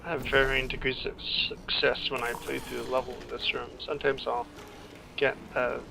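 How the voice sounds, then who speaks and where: A man giving casual, rambling playthrough commentary on a video game, halting and trailing off mid-thought. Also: medium pitch, flat delivery, creaky, sharp tone, slight background noise, low-quality recording. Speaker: gameplay commentator, screenwriter. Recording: game music behind commentary. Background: video game music.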